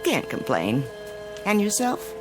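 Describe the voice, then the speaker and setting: An actress playing a prim, fretful busybody neighbor in a radio drama, hesitant and politely insinuating, with a husky, creaky voice. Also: female voice, mid-range voice, huge pitch swings, takes it slowly, music in the background.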